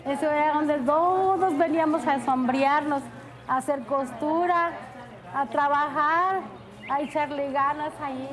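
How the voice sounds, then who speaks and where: A woman reminiscing slowly and fondly about a place. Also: high voice, steady tone, crowd noise, poor audio. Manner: slow, fond reminiscing.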